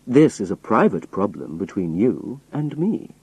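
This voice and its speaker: A man voicing a sinister old spy chief in a story, calm, polite and quietly menacing. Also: lowish voice, a little slow, slightly rough, no echo, heavily acted, low-quality recording. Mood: smug calm.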